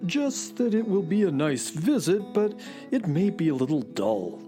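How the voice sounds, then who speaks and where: An actor voicing a patient, sensible space horse in a children's radio play, calmly explaining things. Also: mid-range voice, unhurried, over music.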